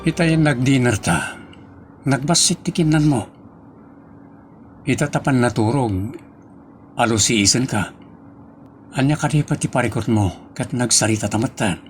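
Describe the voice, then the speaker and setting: A man's voice: medium pitch, measured pace, pauses often, a little hiss or hum, natural voice. A man acting a reassuring, devoted businessman in love in a radio drama. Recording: music behind the voice. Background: music.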